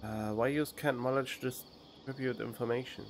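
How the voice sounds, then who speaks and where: A man's voice: mid-range voice, steady tone, moderate pace. Guy streaming a game, casual commentary, studio mic, some game music in the back. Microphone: studio condenser microphone.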